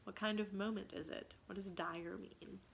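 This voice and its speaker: A woman teacher reading a children's story aloud with dramatic expression and pausing to ask questions. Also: medium pitch, fairly even intonation, creaky.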